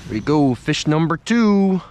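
A man's voice: fairly high pitch, sing-song, a little slow, darkish tone, a little hiss or hum. A young man chatting casually to the camera as he fishes from a snowy riverbank, thinking aloud about each catch. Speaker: fishing vlogger. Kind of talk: casual running commentary while fishing. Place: outdoors on a snowy riverbank in winter. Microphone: camera worn on the body or handheld at close range.

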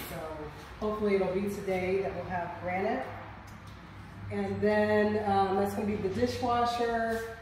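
A middle-aged woman giving a relaxed, chatty walkthrough of a house under renovation, filmed on a phone indoors. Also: mid-range voice, far from the mic, a little hiss or hum. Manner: relaxed, chatty, pointing things out. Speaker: real estate investor giving a house tour. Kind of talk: casual walkthrough tour. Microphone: phone camera held by someone else. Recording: echoey empty rooms, voice off-mic.

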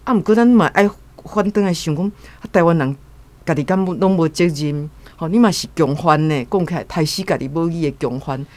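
A woman's voice: low voice, fairly quick, a little mellow, dry recording. Earnest Taiwanese woman commenting. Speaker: guest commentator. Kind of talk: reflective spoken commentary.

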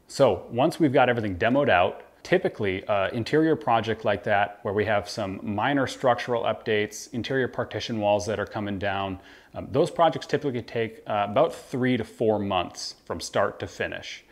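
Lowish voice, American man, thirties, measured, informal explainer, contractor presenting to camera. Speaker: home renovation contractor presenting. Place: indoor kitchen.

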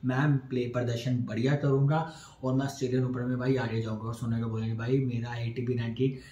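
Young male tennis vlogger for a match recap, flat steady delivery, small echoey room. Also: mid-range voice, fast talker, muffled recording. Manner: talking straight to camera, gesturing with his hands; runs through scores one by one in short phrases. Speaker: tennis video analyst. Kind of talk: casual post-match analysis. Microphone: camera in front, upper body framed. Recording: roomy echo, faint background ambience.

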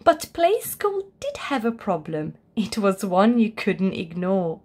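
A woman reading a children's picture book aloud as a storyteller, in an expressive, deliberate way. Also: medium pitch. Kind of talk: scripted children's story narration.

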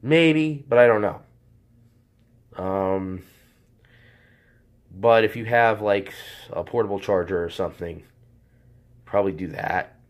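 A man with a hoarse voice from a cold, casually reviewing a product off camera while he handles it, rambling and correcting himself. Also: deep voice. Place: indoors at a table. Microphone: handheld camera, off-screen speaker.

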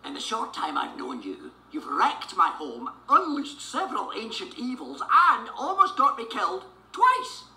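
Gruff old scottish cartoon duck, excitable, takes his time, very sharp voice. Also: high voice, measured pace, few pauses, roomy sound, full character voice, rough recording. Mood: stern. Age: older. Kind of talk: cartoon character acting in an audio storybook.

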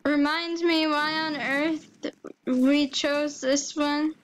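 A woman's voice: sits high, steady tone, slow pace. A woman with a Texan accent chatting casually while playing a game with friends. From Texas. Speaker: gamer. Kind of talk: casual gameplay chat. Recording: background noise.

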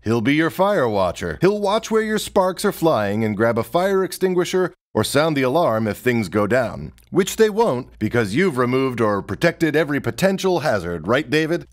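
A young man presenting a scripted workplace safety video in a wry, deadpan joking manner, recorded in a large industrial workshop. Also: mid-range voice. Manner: wry, deadpan jokes between safety tips. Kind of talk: scripted comedic safety narration.

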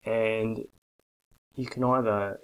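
A man in his forties giving a blunt, persuasive sales pitch straight to a webcam from a small bare office. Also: fairly even intonation, slow, a bit bright, smooth, poor audio. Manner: blunt, persuasive, emphatic with hand gestures. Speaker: coffee business consultant. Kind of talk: direct-to-camera sales pitch. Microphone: webcam at desk.

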